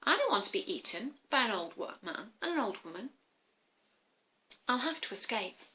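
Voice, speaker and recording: A woman doing a squeaky panicky runaway Welsh cake. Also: very animated, normal speed, pushed and bright. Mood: worried. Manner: squealing, fearful, defiant.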